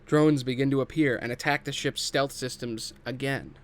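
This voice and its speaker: A man's voice: medium pitch, steady tone, moderate pace. A man narrating scripted stage directions at a table read.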